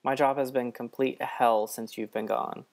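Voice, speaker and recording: A man's voice: mid-range voice, fairly even intonation, moderate pace, pitched above the performer's own voice, natural voice. A man voicing a smitten, teasing male trickster companion in a radio-play style story. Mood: flirty, teasing.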